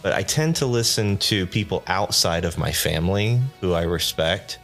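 A man's voice: sits low, normal speed, gravelly, a bit bright, soft background music. A man hosting a solo podcast off-script, rambling casually with self-deprecating asides, sounding tired and a little nasally. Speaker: podcast host.

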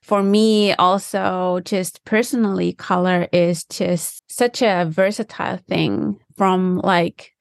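A woman's voice: on the low side, steady tone, slow, gravelly, few pauses, no room sound. Thoughtful woman, dry sound. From Finland. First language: Finnish. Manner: thoughtful, enthusiastic, searching for words. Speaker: guest, design specialist. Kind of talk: conversational interview answers. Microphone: remote call from home.

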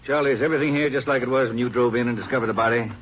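An actor playing a folksy small-town sheriff in a 1950s radio drama, with a drawling, plain manner. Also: male voice, medium pitch, steady tone, racing, a little hiss or hum, close to an everyday voice. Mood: matter-of-fact. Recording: dull lo-fi old radio recording.

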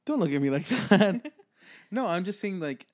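A man's voice: high-pitched, big pitch swings, moderate pace, darkish tone. A man in his twenties with a Midwestern American accent, co-hosting a casual comedy podcast, joking and swearing freely. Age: 20s. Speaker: podcast co-host.

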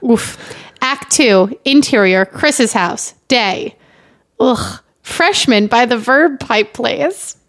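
A woman's voice: fairly high pitch, expressive, slow pace, a bit bright. A woman podcast guest bantering and reading casually, blunt, sweary and exasperated.

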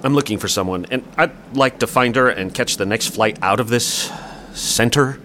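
A man's voice: medium pitch, moderate pace, pushed and bright, a little hiss or hum, close to an everyday voice. A man playing a stubborn, sarcastic brother searching for his sister in an audio play. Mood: sardonic. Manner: sardonic, insistent.

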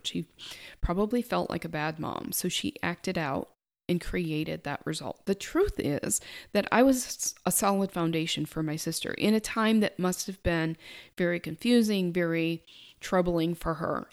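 A middle-aged woman with a soft, quiet speaking voice, reflecting on her own life in a halting, thoughtful way. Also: fairly low pitch. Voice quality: soft-spoken. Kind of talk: personal reflective monologue.

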